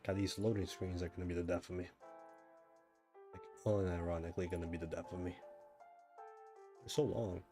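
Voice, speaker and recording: A man chatting casually as he livestreams a game, thinking out loud and often asking himself questions. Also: deep voice, fairly even intonation, brisk, pauses often, soft background music. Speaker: livestreamer, gamer. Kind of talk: casual livestream chat while playing a game.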